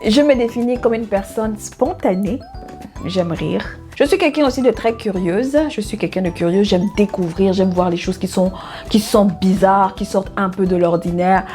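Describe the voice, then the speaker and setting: A woman in her thirties, from Gabon, talks to her viewers in a relaxed faith vlog. She is cheerful, laughs often and drops in an amen here and there. Her voice sits at an ordinary middle pitch, with faint music playing underneath. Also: moderate pace. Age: 30s. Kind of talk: casual faith vlog self-introduction.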